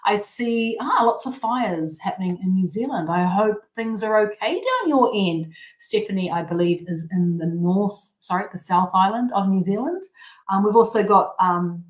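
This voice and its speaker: A woman's voice: mid-range voice, animated, moderate pace. Australian woman host, late 40s, chatty and bubbly, mid-range voice, live-stream chat in an echoey room. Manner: bubbly, rambling, with frequent restarts and laughs. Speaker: host of a healthy cooking show.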